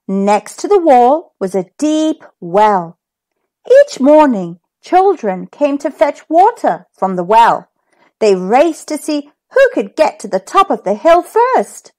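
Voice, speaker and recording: A woman hosts a children's read-aloud in a high voice. She narrates expressively at a slow pace, with very lively rises and falls for young listeners. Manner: animated, deliberate. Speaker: children's story reader and host.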